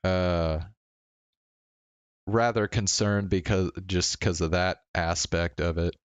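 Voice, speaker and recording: A man with a low, smooth voice talks slowly and haltingly, as if thinking aloud on a casual livestream. He stammers into restarts and swears offhandedly. The sound is close and dry. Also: fairly even intonation, no echo. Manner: casually profane. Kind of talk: casual rambling stream chat.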